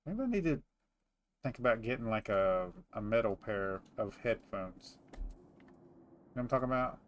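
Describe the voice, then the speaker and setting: A man's voice: fairly low pitch, lively intonation, normal speed, no echo. A middle-aged man chatting casually over gameplay as a host, rambling and teasing as he goes. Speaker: gaming host.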